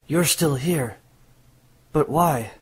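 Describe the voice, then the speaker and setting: A man voicing a calm, secretive water dragon demon who passes for a teenage boy, in an amateur audio drama. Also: on the high side, very slow, husky, heavily acted. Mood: guarded.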